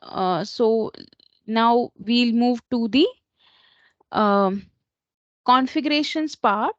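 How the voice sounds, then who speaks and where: A woman presenting an instructional slide lesson as a software trainer, explaining in a slightly halting way. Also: on the high side, no echo. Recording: dull lo-fi close recording.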